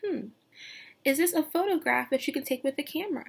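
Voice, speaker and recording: A woman teacher reading a picture book aloud to young children, expressive and sing-song, pausing to put questions to them. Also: high voice, creaky. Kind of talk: read-aloud lesson with questions to young listeners.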